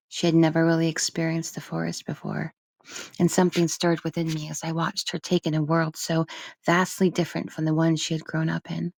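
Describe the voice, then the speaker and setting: Woman, audiobook narrator, low pitch, dry close sound, measured expressive literary storytelling. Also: vocal fry, no room sound. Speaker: audiobook reader. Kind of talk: literary first-person narration.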